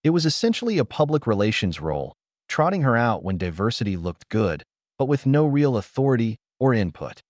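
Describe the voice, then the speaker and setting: A man reading a scripted story aloud in a measured, emotionally present way. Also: mid-range voice, big pitch swings, a little mellow, no room sound, clean studio sound. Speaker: narrator.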